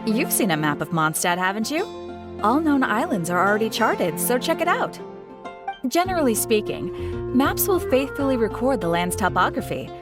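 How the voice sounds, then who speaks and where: With music behind, a young female shopkeeper from a game. Her voice is fairly high and composed, politely explaining things in a matter-of-fact way. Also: sing-song, moderate pace. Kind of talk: video game character acting.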